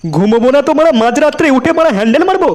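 A man's voice: extremely high pitch, lively intonation, very fast, darkish tone, a little hiss or hum. A man voicing a foul-mouthed, irritable cartoon father who scolds. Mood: irritated, scolding. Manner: cursing, scolding, exasperated. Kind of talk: comic cartoon dubbing.

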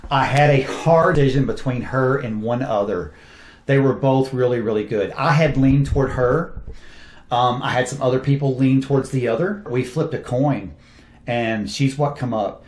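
A man, a film director, talks through his cast with eager, animated enthusiasm. His voice sits at a middle pitch and carries a roomy echo. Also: normal speed. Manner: enthusiastic, animated storytelling with quoted asides. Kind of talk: casual interview talk.

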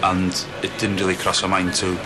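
Flat, deep-voiced Welsh musician. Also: male voice, fairly quick, a crowd in the background. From Wales. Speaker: band musician. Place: pub.

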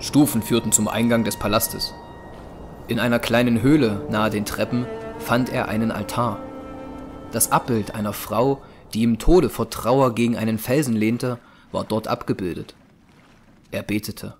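A man's voice: fairly low pitch, steady tone, moderate pace. Male audio drama narrator over music.

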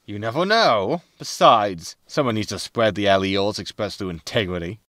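A man's voice: on the low side, animated, normal speed, fairly bright tone, no echo, studio quality. A man playing a roguish, wry spaceship captain with a laconic manner in a sci-fi comedy audio drama. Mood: wry, breezy. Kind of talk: sitcom audio drama acting.

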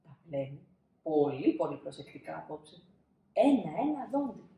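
Woman reading kids story, very lively intonation, dramatic pauses, roomy echo. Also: deep voice, creaky, slight background noise. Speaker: storybook reader. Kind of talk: expressive children's storybook narration. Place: small indoor room, seated.

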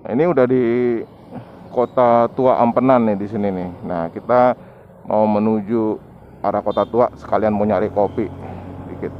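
A man's voice: lowish voice, normal speed, long pauses. A man narrating a casual vlog as he rides, giving unscripted running commentary in short phrases, recorded outdoors on a camera mic. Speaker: motovlogger. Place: outdoors on a motorbike in town streets. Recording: muffled lo-fi action camera, street noise.

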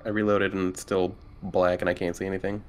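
A man's voice: low-pitched, level tone, quick pace. A man chatting casually as a player in an online tabletop game. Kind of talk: casual chat. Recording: faint background noise.

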